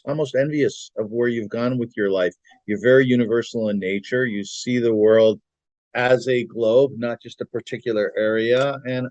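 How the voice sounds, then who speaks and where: A man's voice: mid-range voice, no echo. A middle-aged man, a podcast guest, talking earnestly with frequent restarts. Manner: earnest, complimentary, lots of restarts. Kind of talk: casual conversation. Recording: dry, dull lo-fi audio.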